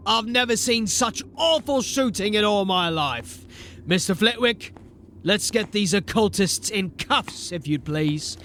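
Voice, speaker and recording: An actor playing a wry, resolute city watch sergeant in a fantasy audio drama. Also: male voice, sits high, vocal fry, pushed and bright, slight background noise, heavily acted. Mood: barking orders. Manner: commanding, wry, grimly determined. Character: gruff, resolute male city watch sergeant turned captain. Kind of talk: audio drama acting and first-person narration. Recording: music behind the voice. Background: music.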